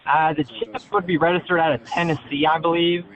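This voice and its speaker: A man calling on a thin phone line, talking in a halting, hesitant, evasive way. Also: fairly high pitch, fairly even intonation, a bit bright. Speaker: caller.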